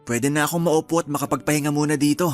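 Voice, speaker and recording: Guy doing a chill cheerful filipino husband, kinda high voice, soft music under it. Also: fast talker, lightly acted. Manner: relaxed, cheerful, sighing. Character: young husband, hardworking and easygoing. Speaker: voice actor in a radio drama. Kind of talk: radio drama acting.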